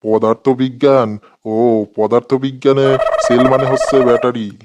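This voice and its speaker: An exasperated cartoon schoolteacher, acted by a man, with a mid-pitched voice. He scolds in colloquial speech, full of sarcasm and comic threats. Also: level tone, normal speed, noisy background, lightly acted. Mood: patient, explaining. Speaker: cartoon voice actor. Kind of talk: comedy cartoon dialogue.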